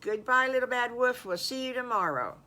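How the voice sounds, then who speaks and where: A woman's voice: medium pitch, expressive, unhurried, a bit bright, pitched above the performer's own voice. A woman voicing an eager, friendly young pig playmate in a children's story, emphatic and reassuring. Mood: cheerful.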